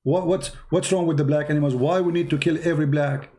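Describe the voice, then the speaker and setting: Insistent lecturing man, higher voice. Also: steady tone, fast talker, dark tone. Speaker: host. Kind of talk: heated call-in debate.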